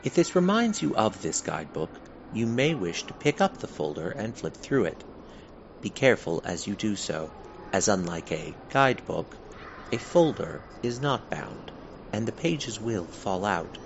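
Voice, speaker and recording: Casting: male actor as didactic lore guide, low voice with expressive swings, scripted narration, dull noisy sound. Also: vocal fry, heavily acted. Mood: measured, instructive. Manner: measured, didactic. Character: measured in-world guide addressing fae readers, drily instructive.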